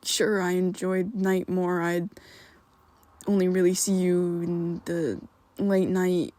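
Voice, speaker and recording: A woman voicing a secretive, protective young male vampire best friend in an ASMR roleplay, talking haltingly and often dropping to a whisper. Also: lowish voice, flat delivery, dark tone, no echo. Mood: confused.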